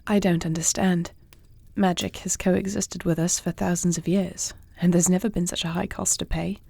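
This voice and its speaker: A woman plays a weary, solemn shifter war leader in a fantasy audio drama. Her voice is lowish, dark and gravelly, with a flat, even delivery. The sound is dry. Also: normal speed, dry recording. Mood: troubled, subdued. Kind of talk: audio roleplay acting, fantasy dialogue.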